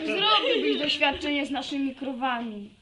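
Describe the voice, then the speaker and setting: An actress playing a cheeky, plucky, teasing farm youngster in a radio drama. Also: female voice, high-pitched, big pitch swings, normal speed, sharp tone, exaggerated voice. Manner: teasing, spirited.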